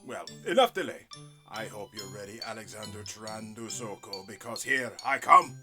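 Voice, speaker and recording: A man voicing a muddled old zombie who speaks in grand, formal phrases with sudden high outbursts. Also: animated, normal speed, bright, forceful tone, soft background music. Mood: pompous. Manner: theatrical, grandly formal, prone to sudden shrieks. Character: addled old zombie man, pompous and well-meaning.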